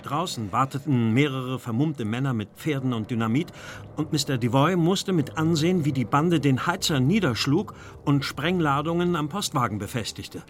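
A man's voice: mid-range voice, expressive, moderate pace, a little hiss or hum, lightly acted. A man playing an anxious, well-bred Victorian London art dealer telling his story in a German audio drama. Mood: grave. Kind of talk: German audio drama acting, narrating flashbacks. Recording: music behind the voice.

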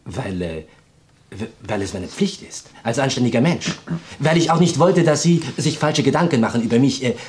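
An actor playing a nervous, theatrical pianist in his twenties in a radio play, with a foreign accent and gushing, halting speech. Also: mid-range voice, animated, a little mellow, natural voice. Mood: defensive, pleading. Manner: gushing, halting, melodramatic, inverted word order.

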